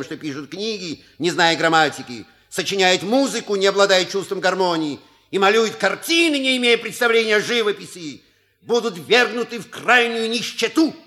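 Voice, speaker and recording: A man playing a shrewd, courteous manservant in an audio play, with measured, ironic and rhetorical delivery. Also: fairly high pitch, bright, forceful tone. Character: suave, shrewd manservant and proud father. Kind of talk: theatrical audio play acting.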